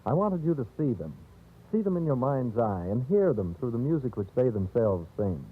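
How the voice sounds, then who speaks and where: A man's voice: mid-range voice, very animated, moderate pace, husky. A man narrating a scripted 1930s radio show as its host, with a theatrical, picture-painting delivery, on an old radio recording. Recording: tinny narrow-band 1930s radio recording.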